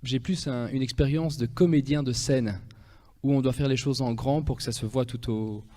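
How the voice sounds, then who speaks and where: A man with a Belgian French accent, speaking as an interview guest in an easy, chatty manner. His voice is mellow and dark at a middle pitch. The sound carries a long reverb. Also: steady tone, normal speed. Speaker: guest, pianist and actor. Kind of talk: radio interview.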